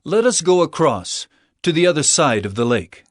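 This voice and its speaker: An actor playing Jesus as a calm, authoritative teacher with a measured, solemn delivery. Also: male voice, on the high side, no echo, studio quality. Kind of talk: dramatized scripture reading. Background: music.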